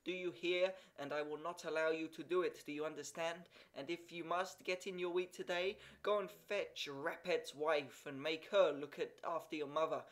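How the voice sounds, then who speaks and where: A man voicing an angry country doctor who scolds and gives orders, in a raised voice and higher than his usual tone. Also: fairly even intonation, normal speed, hardly any pauses, a bit bright, lightly acted. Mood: stern, angry. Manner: commanding, scolding.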